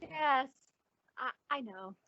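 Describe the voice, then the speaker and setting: Awkward polite lady on a call, slow, very up and down, old radio drama, dull sound. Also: sits high, lively intonation, gravelly, no room sound, full character voice. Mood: awkward, surprised. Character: politely uneasy old acquaintance, a woman. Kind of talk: old-time radio suspense acting.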